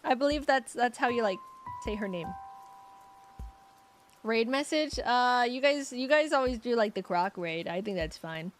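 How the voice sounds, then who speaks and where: A woman's voice: on the high side, expressive, moderate pace. Scratchy-voiced young woman chatting. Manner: rambling, giggly, lots of fillers and restarts. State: voice getting scratchy. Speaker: streamer. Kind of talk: casual chat with live chat.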